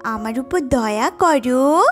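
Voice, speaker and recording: A woman as a very high, plaintive mother bird. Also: animated, faint music behind. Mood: tearful despair. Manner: plaintive, drawn-out pleading. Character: poor anxious mother bird, tender, pleading, tearful. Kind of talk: melodramatic children's cartoon acting.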